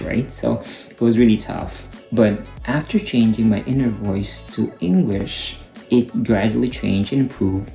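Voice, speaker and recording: A middle-aged Filipino man with a Filipino accent, hosting a channel and calmly explaining advice to camera. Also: lowish voice, gravelly. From Philippines. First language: Cebuano. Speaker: YouTube host, HR coach. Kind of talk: scripted advice talk to camera. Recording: echoey room with faint guitar music.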